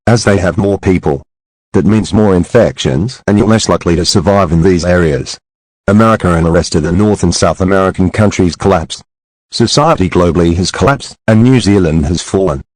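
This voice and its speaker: A man voicing a grave, formal emergency broadcast announcer reading a scripted warning in a solemn, measured way. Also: deep voice, darkish tone, no echo, rough recording. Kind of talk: scripted emergency alert narration.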